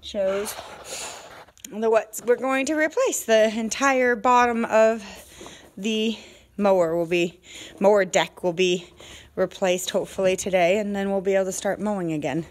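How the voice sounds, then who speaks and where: A woman in her forties giving a casual, halting homestead video diary outdoors, talking into a phone at arm's length. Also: medium pitch. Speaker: homesteader vlogger. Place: outdoors on a farm. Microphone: phone held at arm's length.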